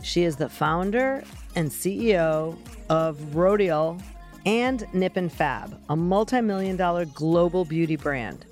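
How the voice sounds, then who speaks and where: A woman hosting an interview, asking quick, curious follow-up questions. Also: lowish voice, lively intonation, a little mellow, faint music behind. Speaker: host. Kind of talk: interview, casual chat.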